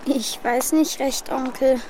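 Boy prince, very high childlike voice, earnest and insistent, children's audio drama with music underneath. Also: steady tone, slow, darkish tone, a little hiss or hum, poor audio. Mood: hesitant, wistful. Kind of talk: children's radio play acting.

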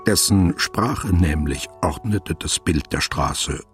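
A man narrating a literary radio play as a sober, observant storyteller with a detached, deliberate delivery. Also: sits low, music in the background.

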